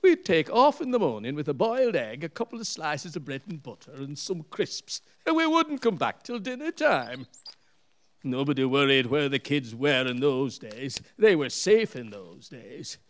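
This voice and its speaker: A man's voice: fairly high pitch, big pitch swings. An actor as a jovial older man, swooping intonation. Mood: chatty, nostalgic. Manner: chuckling, colloquial, unhurried. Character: jovial, nostalgic older husband, curious and dismissive of worries. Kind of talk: radio play acting.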